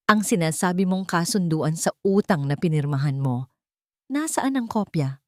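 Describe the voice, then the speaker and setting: A calm, sincere male lawyer from a dramatic story, voiced by a woman with a Filipino accent. He speaks in a measured, businesslike but gentle way, recorded dry. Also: fairly low pitch, dry recording, close to an everyday voice. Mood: probing, professional.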